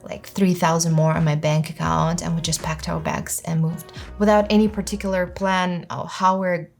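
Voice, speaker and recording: Kazakh woman in her 30s, lowish voice, earnest personal story, bit of music under it. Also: flat delivery, normal speed, vocal fry, darkish tone. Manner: earnest, reflective, lists in short phrases. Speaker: filmmaker vlogger.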